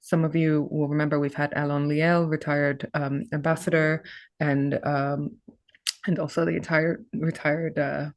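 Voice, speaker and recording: A woman hosting a webinar, introducing a guest in a friendly, halting way with many fillers. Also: low voice, flat delivery, dry recording. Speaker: webinar host.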